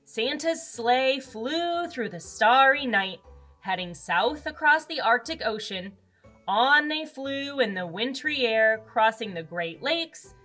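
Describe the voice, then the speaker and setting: An American woman tells a picture-book story to young children as a read-aloud host. Her voice is fairly high and highly expressive, and she takes her time. Music plays behind her. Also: slow. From Arizona, United States.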